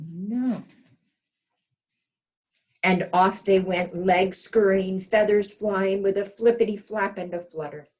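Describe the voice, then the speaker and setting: A woman reading a storybook aloud as a kindergarten teacher, expressive and pausing to ask young children questions and point things out. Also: on the low side.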